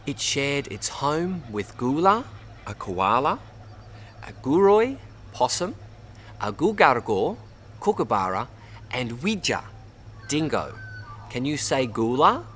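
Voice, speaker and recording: An Australian man reads a children's story aloud in an expressive way, addressing young listeners as a storyteller. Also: mid-range voice, takes it slowly, long pauses, a little hiss or hum. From Bundaberg, Queensland, Australia. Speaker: storybook reader. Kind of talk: read-aloud children's story, narration with word teaching.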